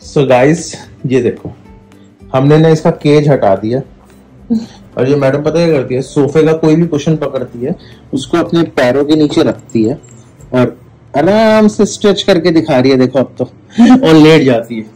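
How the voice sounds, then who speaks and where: A man's voice: on the high side, moderate pace, dark tone, faint music behind. A man casually showing the family dog to viewers at home, speaking from some distance. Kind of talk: casual home vlog narration. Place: living room at home.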